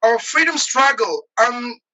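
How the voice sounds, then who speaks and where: A man in his forties from Nepal, speaking as an interview guest over a video call, earnest and halting with many pauses and fillers. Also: very high-pitched, lively intonation, bright, forceful tone, poor audio. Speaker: guest, activist. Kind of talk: earnest personal account in an interview.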